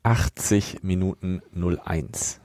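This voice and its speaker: A man's voice: sits low, takes it slowly, mellow, no echo, clean studio sound. A man co-hosting a podcast in casual, bantering conversation. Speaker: podcast co-host. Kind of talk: casual chat.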